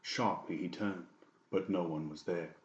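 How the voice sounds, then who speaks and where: Deep-voiced man narrating, slightly muffled. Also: steady tone, unhurried, roomy sound. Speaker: audiobook narrator. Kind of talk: dramatised scripted narration.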